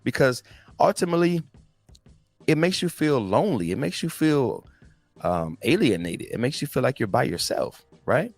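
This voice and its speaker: A man's voice: mid-range voice, very animated, normal speed, gravelly, soft background music. A man in his forties giving a casual, slangy motivational talk as a podcast host, speaking directly to his listeners. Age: 40s. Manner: conversational, slangy, addresses listeners as guys.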